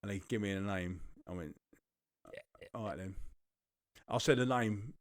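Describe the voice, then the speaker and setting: A man's voice: on the low side, unhurried, no echo, clean studio sound. A man with a London accent, co-hosting a podcast and telling a long rambling anecdote with plenty of swearing. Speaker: podcast co-host. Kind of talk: casual storytelling chat.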